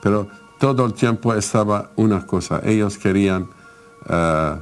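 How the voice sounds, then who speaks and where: Low-voiced man in halting, non-native speech. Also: soft background music. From Lebanon. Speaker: interviewee. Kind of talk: recounting in an interview.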